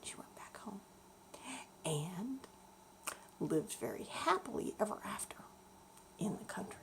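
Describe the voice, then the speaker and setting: A woman telling a bedtime story to children, expressive and deliberate, explaining things as she goes. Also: on the low side, vocal fry, whispered.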